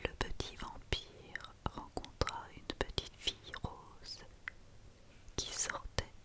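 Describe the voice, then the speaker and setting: A woman whispering a children's story in a breathy, gentle, soothing ASMR reading. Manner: slow, soothing, gentle delivery.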